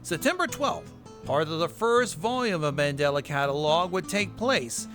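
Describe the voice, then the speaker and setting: A man's voice: a little high, animated, normal speed. A man hosting a narrated recap, with wry asides and casual swearing. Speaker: video host. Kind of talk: narrated recap of horror web series. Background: music bed.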